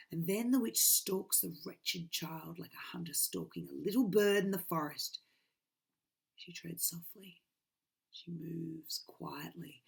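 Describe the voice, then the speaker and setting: A woman's voice: mid-range voice, gravelly, breathy edge. A woman, reading a children's book aloud dramatically into a webcam in a small bedroom. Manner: dramatic, swinging from loud to hushed. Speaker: reader.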